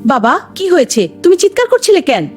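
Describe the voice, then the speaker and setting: A woman's voice: extremely high pitch, huge pitch swings, brisk, heavily acted. A woman playing a concerned, admonishing mother in a moral cartoon. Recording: music behind the voice.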